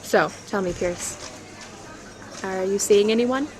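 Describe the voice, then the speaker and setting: A woman's voice: medium pitch, takes it slowly, slight background noise, lightly acted, poor audio. A woman acting in an audio drama as a flirtatious professional on a coffee date, self-assured and coy. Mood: flirtatious, curious.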